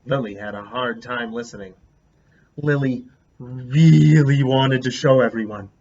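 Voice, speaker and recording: A man reading a picture book aloud as a teacher, a lively storyteller who stops to comment on the pictures. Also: medium pitch, measured pace, lo-fi sound.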